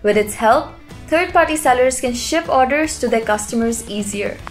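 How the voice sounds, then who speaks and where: A woman reading a scripted explainer narration in an even, polished voice-over. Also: fairly high pitch, moderate pace. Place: voice-over over stock footage. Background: soft background music.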